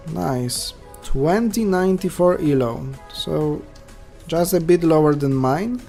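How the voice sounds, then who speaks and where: A man's voice: on the high side, darkish tone. Polish-accented man commenting his live games. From Poland. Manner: thinks aloud, chatty asides to viewers. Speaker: checkers streamer.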